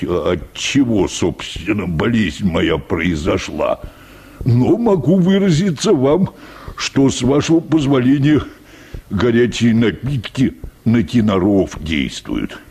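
Slow, gravelly deep bass choir singer. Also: male voice, big pitch swings, darkish tone, heavily acted. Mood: earnest, pompous. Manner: wordy, hesitant, deferential, repeating polite filler phrases. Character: meek, rambling, hard-drinking church choir bass, a patient. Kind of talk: radio play acting of a comic short story.

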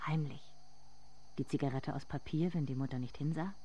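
An actress playing a somber narrator in a literary radio drama, speaking in measured, deliberate phrases. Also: female voice, low voice, warm tone, a little hiss or hum, close to an everyday voice. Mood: quiet, reflective.

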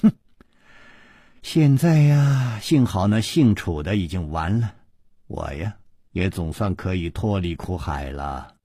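Husky, sly young maidservant , acted by a man. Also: low-pitched, animated, takes it slowly, mellow, no echo, studio quality, pitched below the performer's own voice, exaggerated voice. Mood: weary resentful. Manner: sighing, coy, drawling. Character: sly, sardonic young maidservant woman, weary of her duty.

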